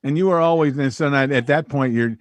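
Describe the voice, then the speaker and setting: A man's voice: medium pitch, a little fast, noisy background. A man hosting an interview, asking probing questions in a playful, interrupting way. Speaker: interview host.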